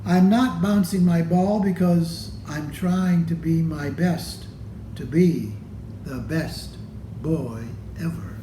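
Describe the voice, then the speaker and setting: A man reading a children's picture book aloud in a deliberate manner, pausing between phrases. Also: on the high side, dark tone, slight background noise. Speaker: storybook reader. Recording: roomy, echoey room sound.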